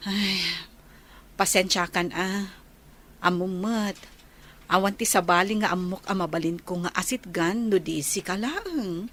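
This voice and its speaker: Woman playing apologetic big sister in a radio drama, mid pitch voice. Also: unhurried, fairly bright tone. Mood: apologetic, reassuring. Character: apologetic, caring older sister short of money. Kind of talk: Ilocano radio drama dialogue.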